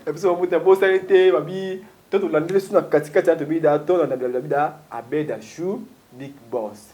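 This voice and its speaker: A man's voice: a little high, moderate pace. Rambling man. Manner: rambling, animated, many fillers. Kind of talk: casual chat mixing French and Lingala.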